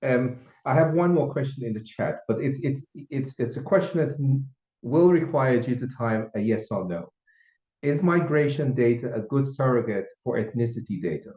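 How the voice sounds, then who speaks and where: A man's voice: mid-range voice, expressive, normal speed, mellow. A man moderating a webinar on a video call, politely reading out and repeating questions from the chat. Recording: roomy, dull video call audio.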